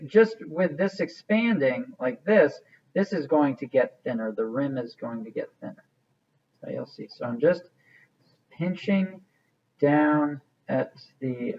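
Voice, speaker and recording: Male instructor, somewhat high-pitched, casual step-by-step demo delivery, thinks out loud, muffled low-fidelity sound. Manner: informal, thinking aloud as he works. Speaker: art teacher. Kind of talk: hands-on demonstration, casual instruction.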